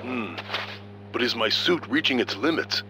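A man's voice: medium pitch, animated, normal speed, noisy background, close to an everyday voice. A man voicing an earnest, goofy, muscle-proud strongman in a video game, musing to himself theatrically. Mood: self-absorbed, musing.

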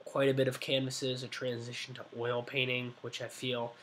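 A man's voice: medium pitch, completely flat delivery, normal speed, fairly bright tone, slight background noise. A nineteen-year-old man talking casually to his webcam in a small room, rambling and correcting himself with many fillers. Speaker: art vlogger. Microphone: webcam at desk.

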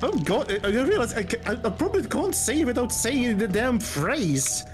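A man's voice: very high-pitched, big pitch swings, moderate pace. A man chatting casually on a game stream, hesitant and full of restarts. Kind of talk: casual chat. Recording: game music behind.

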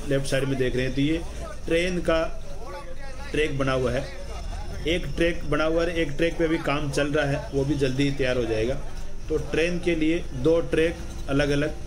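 A man's voice: on the high side, a little mellow, a little hiss or hum, rough recording. A man from Rajasthan narrating a travel vlog voice-over, enthusiastic and explaining things at length with many repeats. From Rajasthan, India. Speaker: travel vlogger.

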